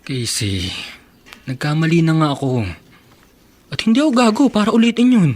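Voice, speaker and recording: A man's voice: a little high, big pitch swings, normal speed, a little mellow. A man with a Filipino accent playing a boyish, cajoling young suitor in a radio drama. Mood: pleading. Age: young adult. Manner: playful, cajoling. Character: teasing, pleading young suitor, boyishly charming. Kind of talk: Tagalog radio drama acting.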